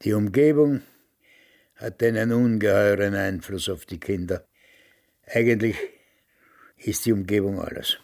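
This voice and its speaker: An actor in a radio drama playing a cantankerous, fatalistic old father with a raspy, creaky voice. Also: lowish voice, unhurried, pauses often, darkish tone. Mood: bitter, fatalistic. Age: older. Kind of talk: German radio drama acting.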